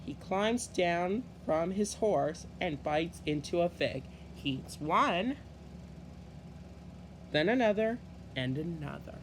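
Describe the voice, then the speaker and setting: A man reading a children's story aloud in an expressive, deliberate way with halting phrasing. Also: high-pitched, slight background noise. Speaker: storybook reader.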